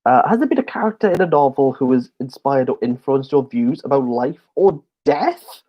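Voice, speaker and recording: A man's voice: mid-range voice, expressive, moderate pace. Tipsy, rambling bookish man on stream. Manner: rambling, chatty, many fillers and restarts. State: a little tipsy. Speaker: book channel host. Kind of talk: casual livestream Q&A. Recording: slightly dull lo-fi stream audio.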